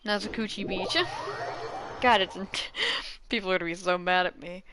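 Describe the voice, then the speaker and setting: A woman's voice: lowish voice, steady tone, moderate pace, noisy background. A woman streamer giving casual, playful and self-mocking commentary as she plays a video game. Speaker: gaming streamer. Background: game music.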